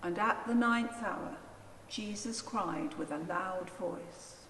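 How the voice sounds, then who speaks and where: A woman narrating a Gospel in a solemn, measured and deliberate reading style. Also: fairly low pitch, fairly bright tone. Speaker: scripture reader, narrator. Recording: echoey church hall, faint noise.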